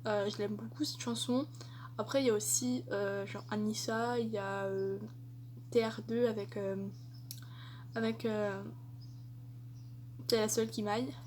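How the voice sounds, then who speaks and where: A woman's voice: medium pitch, fairly even intonation, measured pace, pauses often, a little mellow, slight background noise. A young woman answering tag questions in a casual vlog, chatty and hesitant with many fillers. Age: young adult. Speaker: vlogger.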